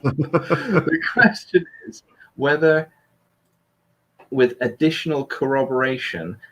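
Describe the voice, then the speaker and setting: A man's voice: fairly high pitch, takes it slowly, fairly bright tone, a little hiss or hum, low-quality recording. A man hosting a casual livestream political discussion in an analytical way, qualifying his points carefully. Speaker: livestream host.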